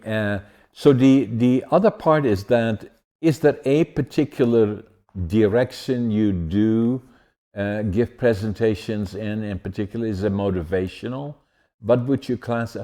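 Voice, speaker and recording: A podcast host, a man, speaks in a fairly low register. His tone is soft and mellow, and he builds long, winding questions in a relaxed conversational style. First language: Dutch. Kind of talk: conversational interview.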